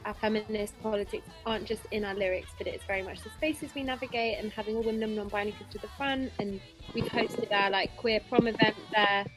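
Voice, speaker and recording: A woman's voice: medium pitch, fairly even intonation, fast talker, music in the background. A woman in a band answering interview questions in an earnest, articulate way. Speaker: band member being interviewed. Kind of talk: casual interview chat.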